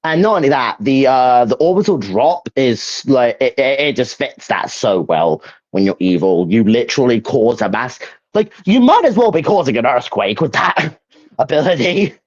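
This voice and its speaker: A man's voice: mid-range voice, big pitch swings, normal speed, no echo. A man chatting casually as a co-host, rambling and repeating phrases as he gives his opinions. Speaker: co-host ranking video game powers. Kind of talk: casual chat, giving opinions. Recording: dull, lo-fi voice audio.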